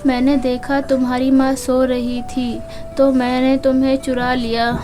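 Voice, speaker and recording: A woman acts out a gentle servant mother from a children's storybook, high-voiced and very even. She speaks plainly and apologetically, full of remorse, over soft music. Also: a little slow. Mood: confessing, earnest. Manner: earnest, apologetic, plain-spoken.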